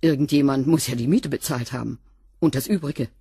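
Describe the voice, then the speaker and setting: A woman's voice: extremely low pitch, fairly quick, warm tone, slightly rough, no echo, studio quality, full character voice. A woman playing a guarded housekeeper with a past in prison, giving curt answers in a radio play.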